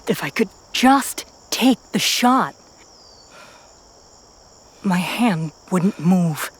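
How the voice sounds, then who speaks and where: A woman reading a first-person story as a dramatic narrator, with intense pauses and hushed, breathy phrases. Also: fairly low pitch, lively intonation. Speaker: narrator of a fan fiction audio story.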